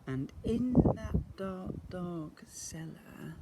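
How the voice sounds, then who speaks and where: Animated man reading a children's story. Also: high voice, heavy background noise. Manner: theatrical, with deliberate pauses and repetition. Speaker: teacher reading to young children.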